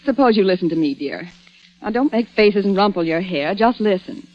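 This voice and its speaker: A chiding, coaxing young society woman played by an actress, exasperated but protective, in theatrical old radio style. Her voice is mid-pitched, on a dull vintage recording. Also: expressive, moderate pace, close to an everyday voice. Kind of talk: vintage radio drama acting.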